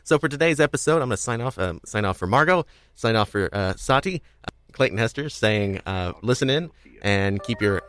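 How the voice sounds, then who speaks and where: A low-pitched man hosts a casual podcast conversation. He speaks off the cuff, circling back and repeating words as he finds his thought. The sound is close and dry. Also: lively intonation, brisk, a bit bright, clear, resonant tone, no room sound. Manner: hesitant, rambling, repeats words. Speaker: podcast host. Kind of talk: casual unscripted discussion.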